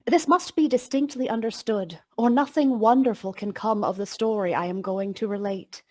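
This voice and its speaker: A woman plays the narrator of a radio ghost story in a slightly high voice. Her telling is measured, dramatic and a little wry. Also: darkish tone, natural voice.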